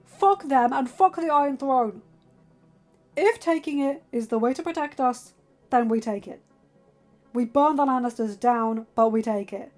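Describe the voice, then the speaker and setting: A woman voicing a stern, protective young lord and father in a fantasy story, arguing with forceful, emphatic reason over music. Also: sits high, unhurried. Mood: angry, firm.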